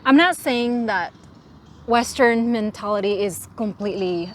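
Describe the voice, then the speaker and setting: A woman's voice: medium pitch, measured pace, a little hiss or hum. A young woman from the Philippines with a Filipino accent, answering interview questions frankly and earnestly, often restarting her words. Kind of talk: casual interview.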